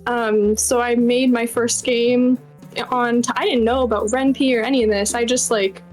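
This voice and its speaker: A young woman with a fairly high voice, answering questions in a casual interview. She talks in a bubbly, informal, enthusiastic way, and faint music plays behind her. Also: fairly even intonation, moderate pace, a little mellow. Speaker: game developer guest.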